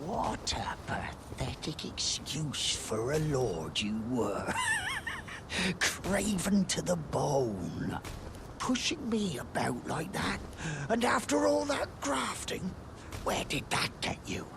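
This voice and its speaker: A sneering British lackey from a fantasy game. His half-whispered voice drags slowly over each phrase and swoops about with spite and mockery. Ambient noise sits clearly behind him. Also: fairly high pitch, very animated, heavily acted. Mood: vengeful, contemptuous. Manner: sneering, drawn-out, mocking delivery. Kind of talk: dramatic fantasy game acting.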